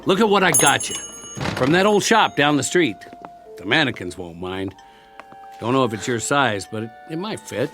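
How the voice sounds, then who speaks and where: Chatty, stammering American soldier. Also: male voice, mid-range voice, very animated, moderate pace, fairly bright tone. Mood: eager, awkward. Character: mouthy, eager-to-please American soldier courting a wary enemy woman. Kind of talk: radio drama acting. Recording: music under the voice.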